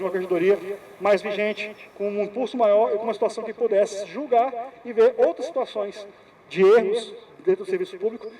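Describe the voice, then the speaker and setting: High-pitched man debating in an assembly. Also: a little mellow, a little hiss or hum. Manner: repeats words, circling argument. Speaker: association member in debate.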